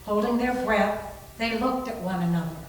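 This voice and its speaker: A woman's voice: medium pitch, moderate pace. A woman narrating a scripted suspense story as part of a readers theater. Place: reverberant room at a library reading. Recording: very echoey, distant, noisy.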